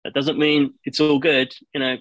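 A man's voice: mid-range voice, little pitch movement, normal speed, fairly bright tone. A man talking as a guest over a video call, chatty and peppered with "you know". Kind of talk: casual chat.

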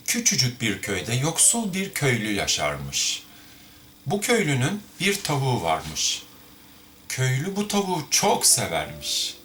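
A man telling tales as an amateur storyteller, in an expressive, theatrical and colloquial way with a sardonic streak. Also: mid-range voice, slight background noise. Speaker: amateur storyteller, host of a tale-reading series. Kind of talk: expressive fairy-tale reading with informal commentary.

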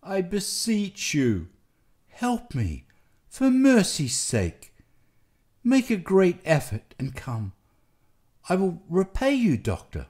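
A man's voice: fairly high pitch, wildly swooping pitch, slow pace, long pauses, voice pushed up, full character voice. A man voicing a desperate, tearful wife who pleads urgently in a dramatic story. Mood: imploring. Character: desperate tearful young wife begging for help.

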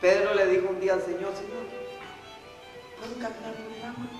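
A young man, a pastor whose first language is Spanish, preaching a bilingual sermon in English and Spanish at a church pulpit with a Spanish accent, growing animated as he goes. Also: a little fast, soft background music. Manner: animated, switches between English and Spanish, rising pitch when excited. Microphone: gooseneck pulpit mic, far camera. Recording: echoey church from far off.

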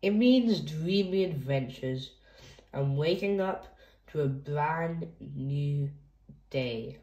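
A woman's voice: low voice, lively intonation, slow pace, a little mellow, echoey room. A woman hosting a children's read-aloud, reading a picture book expressively for young listeners.

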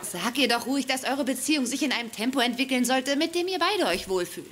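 Casting: young female sitcom neighbour, friendly and frank, slightly high voice, clear background noise. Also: animated, quick pace, fairly bright tone, a faint crowd. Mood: encouraging. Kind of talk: dubbed sitcom dialogue.

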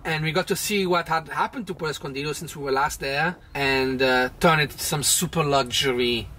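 A man's voice: a little high, a little fast, pushed and bright, slight background noise. A man in his thirties telling a sailing trip story in a chatty, casual way from a small boat cabin. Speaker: vlog host, sailor. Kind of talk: casual travel narration.

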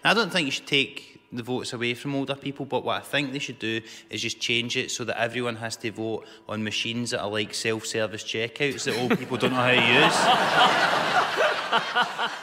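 A man on a comedy panel who builds his jokes in a deadpan way. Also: mid-range voice, fairly quick, long reverb tail, noisy background. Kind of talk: comic panel chat.

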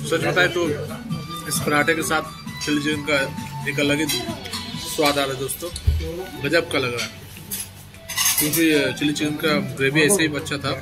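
Man, food vlogger, slightly high voice, loud music behind, chatty restaurant review. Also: steady tone, moderate pace, pauses often, poor audio. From Kolkata, India. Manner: chatty, addresses viewers as friends, repeats phrases. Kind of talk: casual food review vlog. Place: restaurant dining room.

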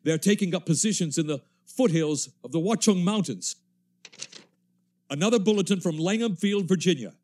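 An actor playing an urgent radio news announcer, reading war bulletins in clipped phrases in 1930s radio drama style. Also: male voice, sits high, lively intonation, moderate pace, darkish tone. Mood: urgent, formal.

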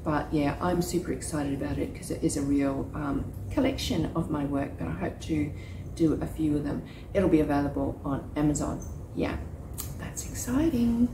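Woman, 40s, low and slightly croaky, chatty rambling vlog; echoey, noisy outdoor courtyard. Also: moderate pace. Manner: rambling, chatty, many restarts and fillers. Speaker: psychic reader and vlogger. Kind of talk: casual check-in vlog. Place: small courtyard with potted palts, outdoors. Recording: echoey with loud ambient noise.

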